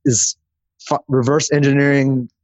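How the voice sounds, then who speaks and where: A man's voice: mid-range voice, little pitch movement, moderate pace, no room sound. Man chatting in a dry-sounding interview. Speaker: podcast guest, growth marketer. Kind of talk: casual interview talk.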